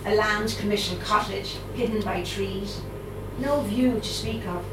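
A middle-aged Irish woman with a Dublin accent, giving a live poetry reading, reciting in a measured way and chatting hesitantly with fillers between poems. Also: mid-range voice, background noise. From Ireland. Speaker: poet. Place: live reading event in a room. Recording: roomy, distant mic, audible room ambience.

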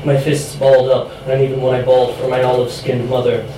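A man's voice: medium pitch, monotone, fast talker. A young man from the United States reading his poetry at a live event, his voice going from a chest infection. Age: young adult. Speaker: poet. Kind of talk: reading own poem aloud at a live event. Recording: very echoey room, distant mic, clear noise.

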